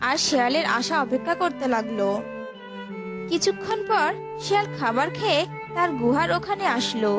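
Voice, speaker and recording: High-pitched woman storyteller. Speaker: storyteller, narrator. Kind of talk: scripted children's story narration. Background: prominent music.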